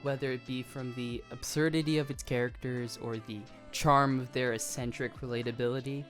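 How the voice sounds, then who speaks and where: A medium-pitched video essayist delivers scripted commentary on anime. The delivery is conversational and self-aware, with guitar music playing behind the voice.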